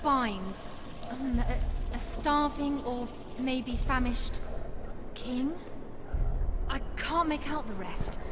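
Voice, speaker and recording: An actress playing a feisty, brisk young woman scholar on an adventure in a video game cutscene. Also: sits high, background noise. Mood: halting, deciphering. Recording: music behind the voice.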